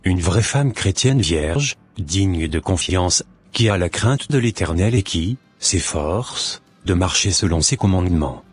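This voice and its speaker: Man voicing a devout young cartoon suitor, deep, husky, measured and earnest. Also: expressive, faint music behind, exaggerated voice. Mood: devoted.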